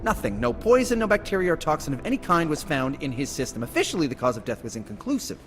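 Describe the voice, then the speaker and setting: Brisk, wry forensic investigator. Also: male voice, on the high side, sing-song, a little hiss or hum. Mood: incredulous, explaining. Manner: chatty, wry, emphatic. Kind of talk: cinematic video game acting. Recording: game soundtrack music behind.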